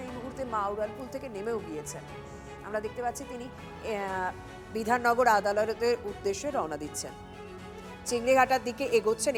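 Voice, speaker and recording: A woman anchoring live breaking news, halting and repeating phrases for emphasis. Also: a little high. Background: news music bed.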